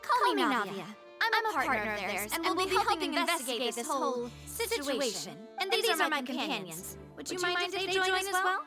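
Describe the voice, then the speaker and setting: High female voice, young, cheerful, cocky, playful, video game character, loud music behind. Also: big pitch swings, normal speed, few pauses. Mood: playful, confident. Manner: playful, confident, breezy. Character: cheerful, cocky young woman leading her companions. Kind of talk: animated video game voice acting.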